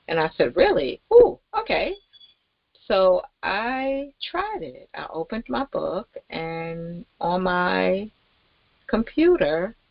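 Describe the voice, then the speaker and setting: A woman giving an informal, rambling promotional talk as host, with halting, emphatic delivery and pauses mid-sentence. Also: deep voice, dry recording, lo-fi sound. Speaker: network marketing promoter and host.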